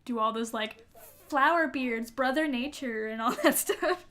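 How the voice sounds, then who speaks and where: A woman with a high-pitched voice banters casually as a podcast co-host. She is giggly and mock-outraged, swears freely and fills her sentences with "like". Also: measured pace, bright, forceful tone, a little hiss or hum. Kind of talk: casual banter about a book.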